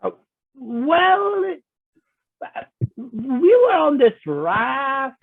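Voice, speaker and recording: A timid young halfling, acted by a man, heard through dry, phone-like audio. The voice is very high and swoops about. He hesitates and stammers as he goes. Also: big pitch swings, very slow, vocal fry, no room sound, heavily acted. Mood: nervous, hesitant. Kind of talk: table read of a fantasy film script. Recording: muffled, phone-like thin audio.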